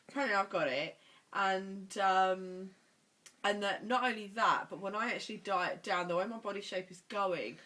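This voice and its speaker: A woman vlogging in a chatty, animated way, her voice stuffy and congested from a cold. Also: medium pitch. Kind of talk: casual chat.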